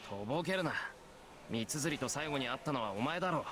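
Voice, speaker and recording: A man's voice: mid-range voice, animated, very fast, background noise, lightly acted. A man voicing an earnest, angry teenage student in a visual novel, speaking in a blunt, accusing way. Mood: tense anger. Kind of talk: Japanese visual novel voice acting.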